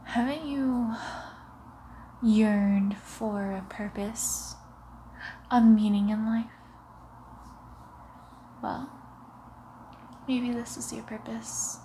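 Woman as a very slow, breathy vampire seductress. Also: on the high side, steady tone, slight background noise, low-quality recording. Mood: menacing, hungry. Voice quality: breathy, with wet mouth sounds. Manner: slow, hushed, often slipping into whispers, teasing. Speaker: ASMR roleplay performer. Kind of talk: ASMR roleplay, close breathy murmuring. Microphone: close to the mic.

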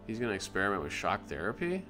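A man's voice: on the low side, sing-song, fast, music in the background. A man hosting a let's play, chatty and musing aloud as he reads on-screen text. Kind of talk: gaming commentary.

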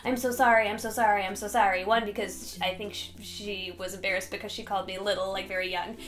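A woman's voice: mid-range voice, little pitch movement, quick pace, fairly bright tone, soft background music. A young woman in a casual, personal chat, telling stories animatedly on a couch indoors. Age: young adult. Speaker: vlog guest. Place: small room indoors, on a couch.

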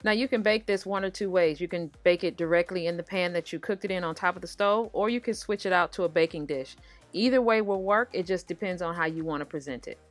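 American lady cooking host, kinda low voice, chatty recipe voiceover talking right to viewers, music in the background. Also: quick pace, no echo. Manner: conversational, addresses viewers directly. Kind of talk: casual recipe narration.

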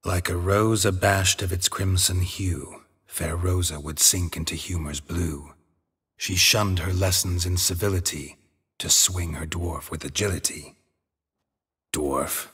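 Game casting: gruff, laconic monster hunter; deep, very husky, deadpan, world-weary. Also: male voice, a little slow, dry recording, studio quality, heavily acted. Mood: reciting dryly. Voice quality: low, gravelly rasp. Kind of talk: video game cutscene acting.

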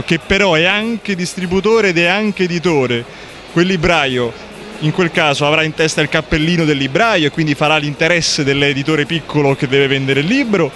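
A man's voice: fairly high pitch, a bit bright. A man in his thirties making a critical argument in an interview at a busy indoor fair, with frequent fillers and restarts. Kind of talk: interview answers. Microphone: handheld reporter mic.

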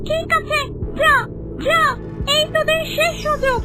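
A woman's voice: extremely high pitch, level tone, unhurried, distant mic, music in the background. A woman voicing a menacing evil female demon in a cartoon fairy tale, scolding and threatening, craving immortality. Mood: angry, commanding.

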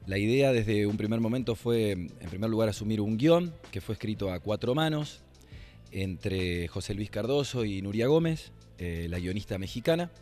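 A man in his forties with an Argentine accent explains a production in an interview, in a halting, reflective way. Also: fairly low pitch, expressive, darkish tone, soft background music. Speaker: radio producer. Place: indoor library.

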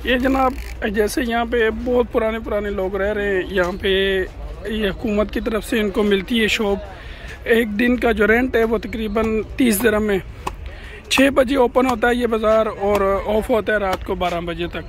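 Man, high voice, chatty market-tour vlogger, phone mic outdoors. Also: steady tone, measured pace. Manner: chatty, keeps addressing viewers as "janab". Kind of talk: walking market tour vlog. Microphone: phone camera held by the walking speaker.

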